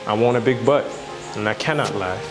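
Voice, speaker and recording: A man's voice: on the low side, fairly quick. A young man hosting a workout vlog in a home gym, joking and coaching through the exercises on a lapel mic. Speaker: fitness vlog host. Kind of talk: casual workout vlog chat. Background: music.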